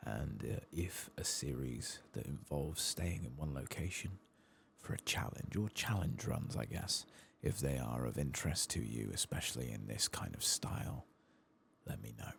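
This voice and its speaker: A soft-spoken, hushed man giving unhurried, understated ASMR commentary over a video game. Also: a bass voice, expressive, creaky. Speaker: host of a gaming playthrough channel. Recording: faint background noise.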